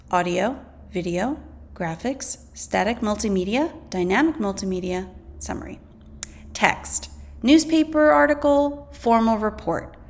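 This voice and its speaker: A woman teacher reading a scripted lesson aloud, deliberately and clearly enunciated, pausing between items. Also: a little high. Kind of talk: scripted educational read-aloud.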